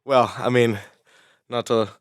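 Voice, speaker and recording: Wry man, dry mid-pitched voice. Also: fairly even intonation, slow pace, smooth, no echo. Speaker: audio drama creator being interviewed. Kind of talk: casual interview.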